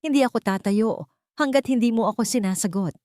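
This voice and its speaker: A woman's voice: mid-range voice, brisk, a little mellow, no echo, clean studio sound, pitched above the performer's own voice, lightly acted. A woman voicing an earnest young man in love in an audio love story, tender and sincere.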